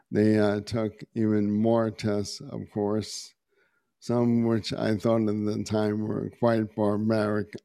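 A middle-aged man with a raspy, hoarse voice, telling his personal story slowly and haltingly, with many pauses and fillers. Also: lowish voice, dark tone, no echo, clean studio sound. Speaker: podcast guest, meditation teacher.

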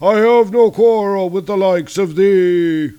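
Man putting on a loud, imperious comic-book monster. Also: takes it slowly, clear, resonant tone, lo-fi sound. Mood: imperious anger. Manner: booming archaic proclamations. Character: hulking monster menace, imperious and wrathful.

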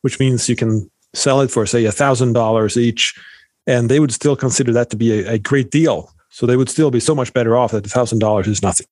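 A man's voice: mid-range voice, fairly quick, a little mellow, no room sound. A middle-aged man from Sweden, a professor answering interview questions thoughtfully, with many fillers and restarts. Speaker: economics professor guest.

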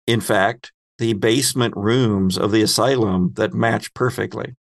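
Slow, gravelly man running a game. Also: fairly low pitch, dry recording. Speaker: game master. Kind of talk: narrating a tabletop role-playing game.

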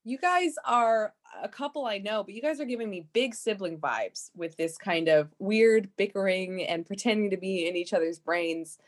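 A woman's voice: mid-range voice, normal speed, few pauses, natural voice. A woman playing an unorthodox marriage counselor, blunt and casual, in an improvised comedy sketch.

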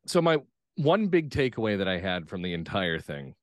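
A man with a low voice talks casually as a podcast guest. His intonation is very lively, and he phrases thoughts reflectively with pauses. The sound is close and dry. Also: no echo. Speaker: guest playwright and actor. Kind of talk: casual discussion.

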